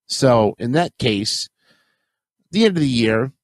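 A man's voice: mid-range voice, animated, measured pace, no echo. A young man, hosting a casual solo podcast, sounding nasal from a cold and rambling chattily with self-corrections as he goes. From Queens, New York. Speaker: podcast host.

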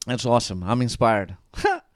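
A man's voice: medium pitch, huge pitch swings, normal speed, a crowd in the background. A man from Brazil, hosting a casual podcast interview with lots of enthusiasm and restarts. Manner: enthusiastic, many restarts and fillers. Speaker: podcast host.

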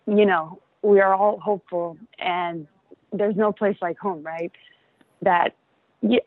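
A woman's voice: lowish voice, no room sound. Hesitant woman on a tinny line. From Venezuela. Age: 20s. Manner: hesitant, many ums, laughs often. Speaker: interviewee. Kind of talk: casual interview answers. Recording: tinny phone-like line, dry.

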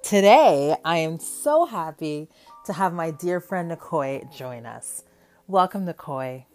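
A woman's voice: low voice, lively intonation, takes it slowly, faint music behind. A woman hosting a casual podcast interview, bubbly and laughing often, using affectionate pet names. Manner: bubbly, frequent laughter, warm affectionate address. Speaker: podcast host.

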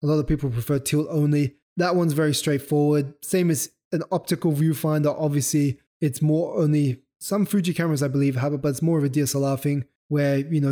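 A man's voice: a little high, mellow. A young man hosting a solo photography podcast, explaining loosely with fillers and self-corrections, his throat sore and his voice starting to go. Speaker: podcast host.

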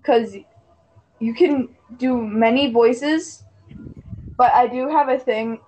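A woman's voice: on the high side, slow, warm tone, poor audio. A woman in a casual online group chat who bluntly orders the others around, swears, and laughs a lot.

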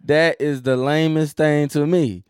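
A man's voice: fairly high pitch, steady tone, unhurried, a little mellow, clean tone, no room sound, studio quality. A young man in casual podcast chat, laid-back, blunt and joking. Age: young adult.

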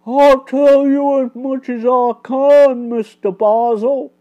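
A woman's voice: high-pitched, steady tone, slow, muffled recording, voice pushed up. A woman voicing a frightened, humble male mouse carpenter in a storybook, pleading in folksy working-class speech. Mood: pleading, confessing.

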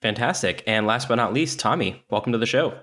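A man hosting a university podcast, introducing guests and explaining things in a friendly, steady way. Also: medium pitch, brisk. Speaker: podcast host. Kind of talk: hosted university podcast talk.

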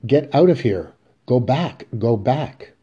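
A man's voice: medium pitch, lively intonation, slow, warm tone, slightly rough, dull audio, voice pushed up. A man voicing an anxious middle-aged salesman and father in a play reading, blustering and flustered.

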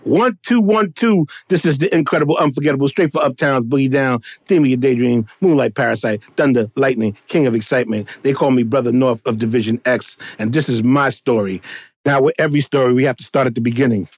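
A man with a New York accent tells his life story like a seasoned hip hop storyteller. His voice is husky and medium in pitch, recorded dry and close. He phrases things with measured emphasis. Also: no room sound. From South Bronx, New York, United States. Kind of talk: performed autobiographical storytelling.

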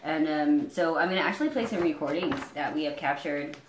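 Low-voiced woman, playful podcast banter. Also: fairly quick, echoey room, noisy background. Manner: playful, rambling, jumps between tangents. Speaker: podcast co-host.

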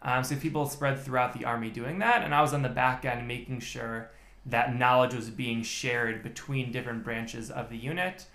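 A man's voice: medium pitch, fairly even intonation, normal speed, pushed and bright. A man, a podcast guest telling his story in a methodical way with many restarts. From United States. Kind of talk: casual interview chat. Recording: echoey room.

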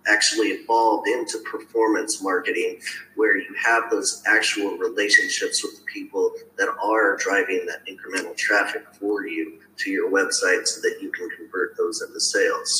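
Raspy man with a bright edge. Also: lowish voice. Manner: easygoing, upbeat, many "uh" fillers. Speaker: guest, affiliate marketing coach. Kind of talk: casual interview talk. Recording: roomy echo, faint background hiss.

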